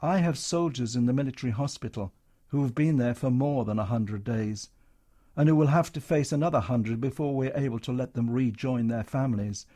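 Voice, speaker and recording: A man's voice: medium pitch, brisk, warm tone, natural voice. A man voicing a stern wartime hospital matron, firm and cutting.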